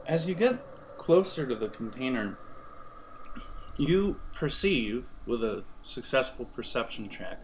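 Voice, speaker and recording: A man running an improvised tabletop role-playing game, narrating in a halting, conversational way. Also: mid-range voice, noisy background. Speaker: game master. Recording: music behind the voice. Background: music.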